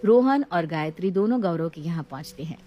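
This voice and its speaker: A woman's voice: fairly low pitch, expressive, fairly quick, muffled recording, faint music behind. A woman storyteller reading scripted narration of a moral family story.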